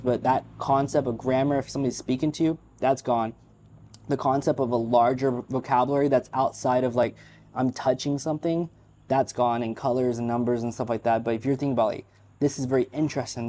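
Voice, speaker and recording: Male vlogger, native English speaker, mid pitch, dark mellow timbre, candid rambling delivery. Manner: frank, opinionated, rambling with fillers. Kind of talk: unscripted casual monologue.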